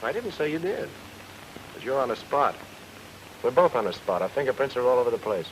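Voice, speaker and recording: A man's voice: mid-range voice, big pitch swings, brisk, close to an everyday voice. An actor playing a tough, cool-headed male detective with a clipped, commanding delivery in an old radio noir drama. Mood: curt, probing. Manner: clipped, hard-boiled, commanding. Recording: noisy old radio recording.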